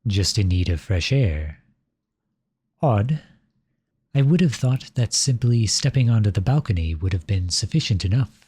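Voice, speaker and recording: A man playing a flirtatious, silver-tongued royal at a masquerade ball in an ASMR roleplay, speaking in courtly, formal, flattering phrases with a husky voice. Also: fairly low pitch, normal speed, no echo, clean studio sound. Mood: intrigued, flirtatious. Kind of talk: ASMR roleplay, scripted romantic monologue addressed to listener.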